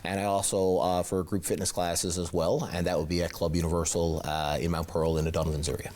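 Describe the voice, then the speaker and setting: A man's voice: low-pitched, flat delivery, a little fast, darkish tone, a little hiss or hum. A man, a personal trainer interviewed in a TV studio, giving encouraging advice with lots of fillers.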